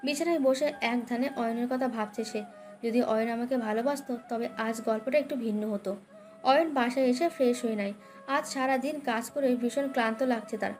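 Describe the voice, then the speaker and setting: A woman's voice: fairly high pitch, a little mellow. A woman narrating a dramatic romance story as a scripted audio tale. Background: background music.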